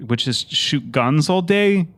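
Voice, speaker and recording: A man's voice: on the high side, expressive, takes it slowly, a bit bright, pitched above the performer's own voice. A man playing an awkward, tense, overly polite analytical character in a tabletop game, hesitant and formally worded. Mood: stiff, puzzled. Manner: hesitant, stiff, formal wording.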